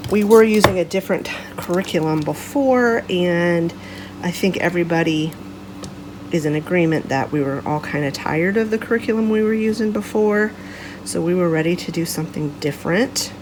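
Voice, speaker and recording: A middle-aged woman hosting a casual home vlog, chatting in a rambling, self-correcting way, her voice congested and gravelly from a cold. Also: lowish voice, normal speed, noisy background. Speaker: vlog host.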